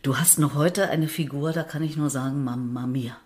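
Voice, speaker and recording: A woman's voice: low voice, moderate pace, darkish tone, slightly rough. A woman hosting a casual interview, informal and familiar, with questions and short reactions. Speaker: podcast host, interviewer. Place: indoors in the guest's home.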